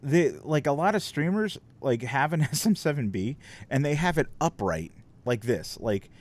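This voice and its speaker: A man's voice: on the high side, very animated, normal speed. A man streamer chatting casually on a desk mic, rambling and swearing, with lots of fillers. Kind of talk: casual chat. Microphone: desk microphone.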